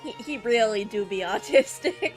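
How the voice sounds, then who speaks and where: A woman's voice: medium pitch, lively intonation, moderate pace, soft background music. A woman streamer giving casual, informal commentary as she plays a game.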